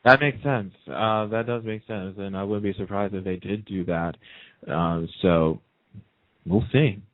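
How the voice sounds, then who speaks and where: Low-voiced man on a phone-like line. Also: a little slow, no room sound. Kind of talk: casual chat about TV soaps. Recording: muffled, narrow phone-like line.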